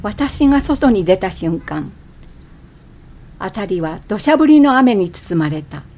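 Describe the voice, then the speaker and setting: An actress playing an irritable, changeable young wife in a radio drama, expressive and exclamatory. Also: female voice, mid-range voice, takes it slowly, slight background noise. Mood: wistful. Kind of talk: radio drama acting with inner monologue.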